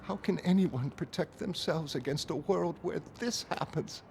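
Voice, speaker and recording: A man voicing a distraught, frightened young woman superhero with a halting, upset delivery in a motion comic. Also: a little high, creaky, darkish tone, slight background noise. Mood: shaken disbelief. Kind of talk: dramatic motion comic narration.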